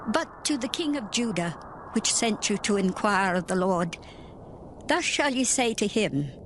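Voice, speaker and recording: A woman's voice: medium pitch, slight background noise. A woman playing a stern, solemn biblical prophetess, declaiming like an oracle in a dramatized scripture reading. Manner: declamatory, oracular. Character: stern, solemn Hebrew prophetess delivering God's judgement.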